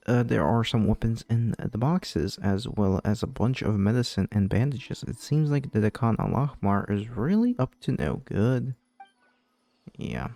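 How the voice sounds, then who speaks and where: Creaky-voiced game streamer, close and dry. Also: mid-range voice, darkish tone, dry recording. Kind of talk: casual live reaction and reading aloud.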